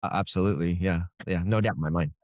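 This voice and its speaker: Low-voiced man chatting about his writing. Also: fast talker, a little mellow, no echo, clean studio sound. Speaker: fantasy author being interviewed. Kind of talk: casual conversational interview.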